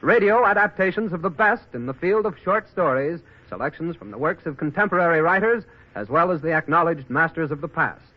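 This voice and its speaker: A man's voice: on the high side, lively intonation, brisk, hardly any pauses, muffled recording. A man announcing formally from a script in the style of 1940s radio. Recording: band-limited 1940s radio broadcast.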